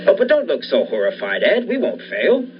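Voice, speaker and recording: A man's voice: fairly high pitch, wildly swooping pitch, a little fast. A young man playing an obsessed, commanding scientist and surgeon in the style of a 1940s radio thriller. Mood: grave, resolute. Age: young adult. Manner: commanding, insistent. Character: obsessed scientist surgeon bent on reviving his dead wife. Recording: dull lo-fi audio, faint noise.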